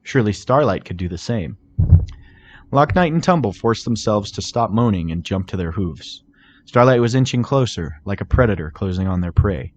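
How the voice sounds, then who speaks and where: A man's voice: fairly low pitch, lively intonation, normal speed, a little hiss or hum. A man reading fan fiction aloud as a scripted story narrator. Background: music.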